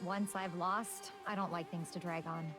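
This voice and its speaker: A woman voicing a haughty, composed teenage girl gambler in a video game, speaking in a slow, lofty, theatrical way. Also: fairly low pitch, steady tone, lightly acted. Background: game music.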